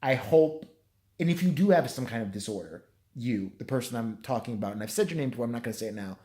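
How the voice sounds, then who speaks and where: A tired man with a hoarse, nearly gone voice, rambling and swearing through a self-deprecating late-night vlog. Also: mid-range voice, expressive, fast, warm tone. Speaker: vlogger.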